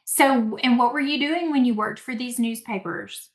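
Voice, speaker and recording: A woman's voice: fairly high pitch, normal speed. Host woman in an echoey room. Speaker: podcast host. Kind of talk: conversational interview. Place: Central Texas.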